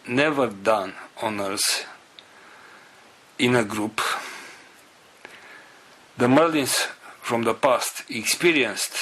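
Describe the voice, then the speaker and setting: A man with a non-native English accent giving a spiritual talk to followers in a solemn, halting manner, in short phrases. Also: fairly low pitch, sharp tone, rough recording. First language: not English. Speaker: spiritual group leader.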